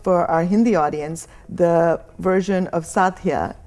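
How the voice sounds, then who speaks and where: A woman's voice: fairly low pitch, measured pace, darkish tone, soft background music. A woman hosting an entertainment interview, prompting and complimenting her guest. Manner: encouraging, complimentary. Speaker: interviewer host.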